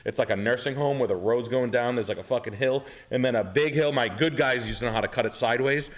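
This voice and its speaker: Fast-talking profane New man. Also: mid-range voice. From New England. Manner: profane, rambling, animated storyteller. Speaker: podcast host. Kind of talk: casual storytelling.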